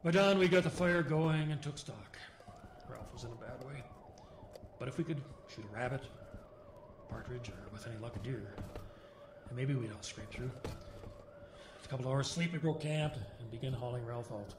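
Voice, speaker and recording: A man's voice: medium pitch, natural voice. Man playing weathered folksy storyteller, roomy sound. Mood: somber. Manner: folksy, halting, solemn storytelling in clipped sentences. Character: weathered Ojibwe male storyteller recounting grim survival tale. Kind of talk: radio horror drama monologue. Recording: roomy, clear background noise and ambience.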